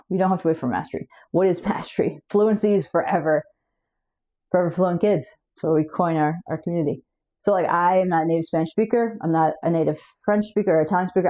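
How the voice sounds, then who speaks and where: A woman talks straight to camera in a loose, chatty way, often stumbling and restarting her words as she goes. Her voice sits fairly low and has a soft, mellow tone. The sound is a bit dull and lo-fi. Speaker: language coach and channel host. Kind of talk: casual talk to camera.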